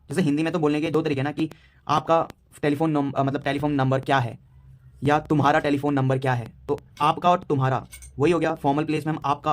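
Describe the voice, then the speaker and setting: A nineteen-year-old man with an Indian accent, teaching a beginner German lesson in a mix of Hindi and English, halting and repeating himself with many fillers. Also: fairly high pitch. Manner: slow, halting delivery with many fillers and repetitions.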